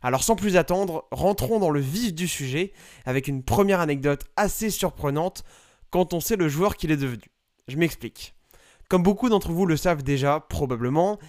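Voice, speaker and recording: A man hosts a podcast in a relaxed, joking tone and keeps breaking off for self-mocking asides. His voice sits a little high and sounds smooth and clear. It is recorded dry and close, with no room sound. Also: dry recording. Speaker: podcast host. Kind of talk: casual scripted podcast monologue.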